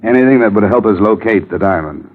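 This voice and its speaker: An actor playing a wry, cool insurance investigator in a vintage radio drama, narrating and talking in a dry, deadpan, sardonic manner. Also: male voice, lowish voice, fast, noisy background, lightly acted. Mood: dry, businesslike. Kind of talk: 1950s radio detective acting, hard-boiled narration. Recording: muffled vintage radio broadcast with music.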